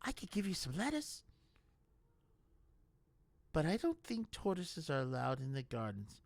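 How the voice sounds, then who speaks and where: An earnest, timid young monk with a high, reedy voice that jumps and flutters, as a male reader puts him on. He speaks slowly and shyly, with a dry, close sound. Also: wildly swooping pitch, creaky, a little mellow, no echo, exaggerated voice. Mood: gentle.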